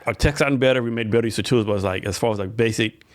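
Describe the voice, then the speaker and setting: Quick-talking male podcast host. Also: mid-range voice. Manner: quick, loose talk full of "like" fillers, encouraging "mm-hmm"s. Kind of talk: casual interview conversation.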